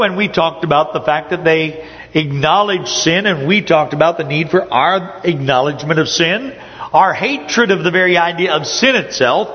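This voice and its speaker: A man giving a sermon to his congregation, his voice a little hoarse, speaking conversationally with frequent pauses and fillers. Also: on the high side, in a large hall, dull audio. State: slightly hoarse. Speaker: preacher. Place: church sanctuary.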